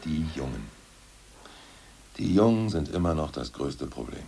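A man playing a gruff, dogmatic ousted party ideologue in a radio play, with a gravelly, husky voice that bursts into coarse rants. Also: extremely low pitch, sing-song, measured pace, dark tone, a little hiss or hum, heavily acted. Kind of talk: 1980s radio play acting.

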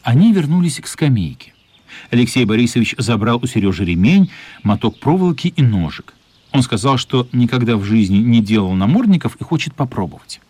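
An actor narrating a children's radio play as an expressive, literary storyteller. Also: male voice, mid-range voice, a little fast, husky, no room sound. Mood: storytelling.

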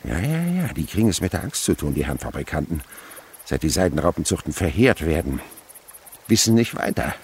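An actor playing an excitable middle-aged scientist in a radio drama, proud and fatherly, muttering and repeating himself. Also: deep voice, a little fast, a little hiss or hum. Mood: astonished, agitated.

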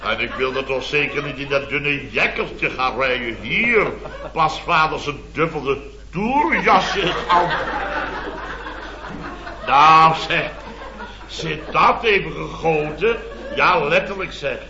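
A man's voice: fairly high pitch, slow, a crowd in the background. A Dutch man acting a blustering, self-important building-firm boss in a radio comedy, rambling indignantly. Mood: exasperated, amused. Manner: indignant, rambling storytelling with tag words. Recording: dull, echoey vintage radio recording with audience.

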